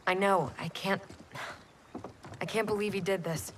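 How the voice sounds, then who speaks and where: An actress playing a young, practical, worried soldier in a video game. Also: female voice, mid-range voice, fairly even intonation, measured pace, a little hiss or hum, natural voice. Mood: concerned. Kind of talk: video game cutscene acting.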